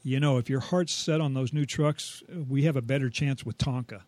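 A man's voice: mid-range voice, steady tone, normal speed, a little mellow, no echo. A man co-hosting a home improvement show, giving folksy advice with wry, scripted banter.

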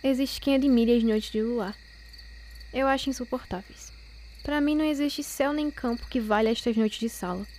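An actress playing a proud, cutting young wife in a Brazilian radio soap opera, with formal, provoking speech. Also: female voice, a little high, moderate pace, a little mellow, a little hiss or hum, close to an everyday voice. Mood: teasing, disdainful. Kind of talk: radio soap opera acting of a literary classic.

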